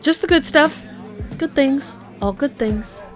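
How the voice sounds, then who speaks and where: A woman acting a tough, sardonic policewoman who gets halting and flustered, in casual audio drama dialogue. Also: high voice, expressive. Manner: sardonic, halting when awkward. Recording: music behind the voice.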